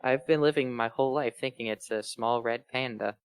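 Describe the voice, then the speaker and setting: A woman's voice: very deep voice, fairly quick, clean tone, no echo. A woman bantering casually as a reader on a group read-along show. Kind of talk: casual group chat with riffing.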